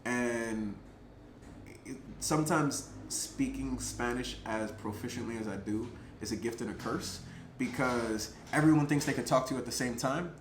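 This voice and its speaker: A man's voice: mid-range voice, normal speed. Chatty man telling a story in a roomy space. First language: English. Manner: chatty, lots of "like" and "um" fillers, laughs between thoughts; animated, gets louder at exciting moments. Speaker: vlogger telling a personal story. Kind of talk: casual storytelling to camera. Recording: roomy echo, faint background noise.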